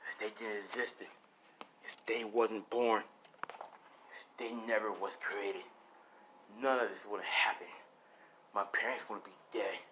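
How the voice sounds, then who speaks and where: A man voicing a grieving, vengeful young demon hunter, speaking slowly in a hushed, grim tone. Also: fairly even intonation, slight background noise, low-quality recording. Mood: bitter, grieving. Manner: low, slow, hushed and grim.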